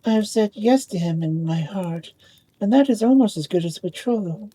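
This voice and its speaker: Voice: medium pitch, normal speed, warm tone, breathy edge, pitched above the performer's own voice, lightly acted. A storyteller voicing a vain, prim young lady ball in a fairy tale, with an airy, self-regarding manner. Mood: haughty, coy.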